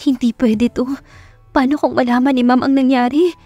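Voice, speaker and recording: A woman's voice: sits high, fairly even intonation, moderate pace, mellow, no echo, faint music behind, voice pushed up. A woman with a Filipino accent voicing a flustered, proud young female assistant in an audio romance, pleading and indignant. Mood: anxious regret.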